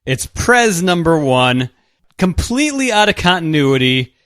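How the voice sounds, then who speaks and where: A man with a high voice co-hosting a comic book podcast, his pitch bouncing around a lot as he talks. He drops wry asides and picks up the recap casually. The sound is dry and close. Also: very animated, unhurried, a bit bright, no echo. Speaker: podcast co-host.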